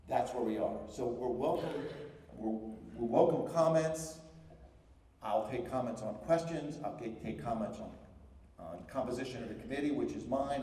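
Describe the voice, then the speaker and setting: A man's voice: medium pitch, warm tone. A man speaking as a school superintendent at a town meeting, plainspoken and firm about the ground rules. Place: large echoey public hall. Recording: echoey hall, voice from far off.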